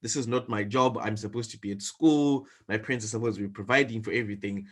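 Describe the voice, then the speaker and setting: A man's voice: on the low side, quick pace, fairly bright tone. Earnest young man from Zimbabwe. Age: 20s. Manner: earnest storytelling with fillers. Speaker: guest entrepreneur. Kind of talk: interview answers.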